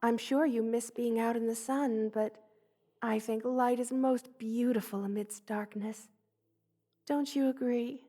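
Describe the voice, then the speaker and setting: Woman acting a motherly monster spider queen, high voice, very unhurried, tender, soothing, ASMR roleplay. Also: fairly even intonation. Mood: warm, affectionate. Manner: tender, soothing, endearments, gently formal diction.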